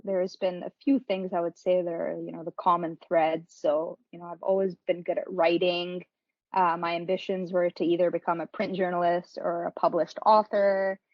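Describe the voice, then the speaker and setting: Lower-voiced woman, dry slightly dull recording. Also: little pitch movement, normal speed, dry recording. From born in Pakistan, grew up in several countries. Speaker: podcast guest, marketing professional. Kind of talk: conversational interview answers.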